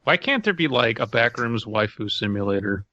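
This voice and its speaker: A man with a bright, edgy voice chats as a podcast co-host. His delivery is casual and wry with a complaining streak, and the sound is free of room echo. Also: mid-range voice, sing-song, normal speed, creaky, highs cut off. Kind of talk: casual comedic banter.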